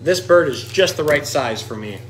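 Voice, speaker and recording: A man's voice: a little high, lively intonation, normal speed, fairly bright tone, a little hiss or hum. A man voicing a scheming, indignant little old lady in a children's storybook, exclaiming. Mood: gleeful.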